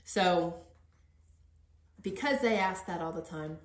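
A middle-aged woman with a notably low voice, recorded in an echoey room. She hosts a conversational interview and speaks with earnest encouragement. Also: animated, slow pace. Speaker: podcast host interviewing.

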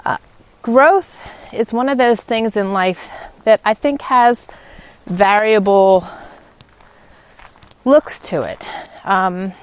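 A woman hosts a casual, reflective podcast while out walking. She speaks slowly in a medium-pitched voice, often halting mid-sentence to find her next thought. The delivery is unscripted and personal. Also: clear, resonant tone. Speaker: podcast host. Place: outdoors on a walk, in wind.

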